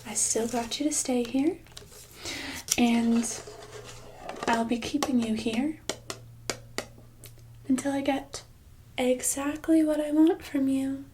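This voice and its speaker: A woman playing a smug, controlling boss in a soft-spoken ASMR roleplay, with condescending, mock-sweet, drawn-out delivery. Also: high-pitched, steady tone, noisy background. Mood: smug, menacing. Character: smug, controlling female boss holding an employee captive.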